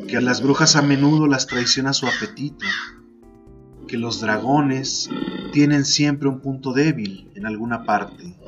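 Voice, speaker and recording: A man's voice: medium pitch, highs cut off. Man narrating a poetic tale over music. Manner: measured, deliberate, second-person storytelling delivery. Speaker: podcast host and narrator. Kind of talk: dramatic literary narration of a poem.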